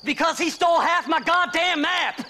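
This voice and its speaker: An actor playing a half-mad, paranoid treasure-hunting loner in a western game, gravelly and erratic. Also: male voice, very high-pitched, moderate pace, pushed and bright, a little hiss or hum, full character voice. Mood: manic, aggrieved. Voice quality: gravelly, creaky. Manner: agitated, erratic, rambling. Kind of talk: video game western acting.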